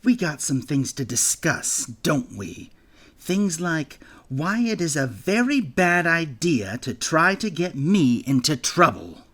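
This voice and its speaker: A man's voice: medium pitch, big pitch swings, takes it slowly, slightly rough, exaggerated voice. A man reading an audiobook, voicing a sneering, menacing bully boy who taunts his victim. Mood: taunting. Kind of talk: audiobook character voice.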